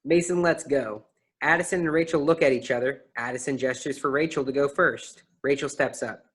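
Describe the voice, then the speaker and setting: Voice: mid-range voice, brisk, breathy edge. A narrator reading out a play's stage directions as scripted narration.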